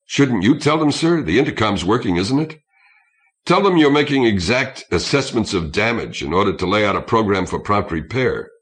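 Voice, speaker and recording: A man's voice: fairly low pitch, big pitch swings, moderate pace, fairly bright tone. A man reading an audiobook, voicing a shaken ship's crewman with an unsteady, anxious manner. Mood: unsteady, pleading. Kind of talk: audiobook character acting.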